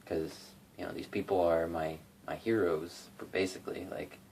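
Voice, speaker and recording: A man's voice: low-pitched, little pitch movement, normal speed, vocal fry, darkish tone, slight background noise, low-quality recording. A young man rambling casually about covering songs, full of fillers and restarts, recorded on a phone in his bedroom. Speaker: YouTube cover singer and guitarist. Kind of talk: casual rambling vlog talk.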